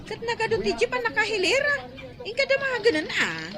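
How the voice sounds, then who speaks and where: A woman's voice: very high voice, animated, brisk, a loud crowd. An actress with an Ilocano accent playing a chatty, nosy young female friend in a radio drama. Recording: clear vehicle noise behind.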